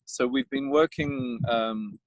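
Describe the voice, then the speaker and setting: South African winemaker coughing outdoors. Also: male voice, mid-range voice, no room sound, low-quality recording. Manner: halting, many fillers and restarts. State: coughing, tired. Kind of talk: casual outdoor vineyard talk. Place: outdoors in a vineyard.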